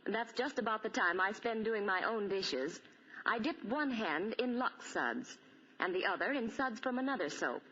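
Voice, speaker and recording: A woman's voice: mid-range voice, lively intonation, normal speed, a bit bright, husky. A woman reading a scripted testimonial in a 1940s radio commercial. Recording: muffled 1940 radio broadcast.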